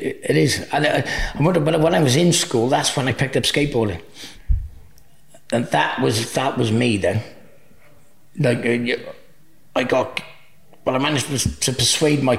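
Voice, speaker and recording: Gravelly Cardiff-accented man, rambling. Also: medium pitch. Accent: Welsh, Cardiff. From Wales. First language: Welsh. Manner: rambling, restarts often, easygoing. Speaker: guest. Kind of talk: casual interview chat.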